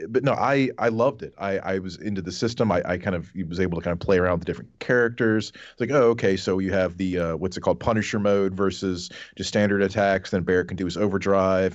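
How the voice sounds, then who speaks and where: A man with a low voice talks games casually and with enthusiasm. The sound is close and dry, slightly muffled, like a podcast panelist on a modest microphone. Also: a little fast, hardly any pauses, no echo. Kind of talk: casual chat about games.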